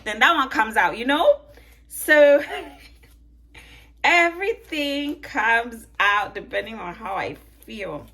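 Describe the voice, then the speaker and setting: A woman's voice: on the high side, expressive, slow, sharp tone. A woman in her thirties with a mixed Ghanaian, Italian, British and American accent, chatting and joking to camera at home while detangling her hair. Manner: chatty, joking, laughs often, asks viewers questions. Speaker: vlogger.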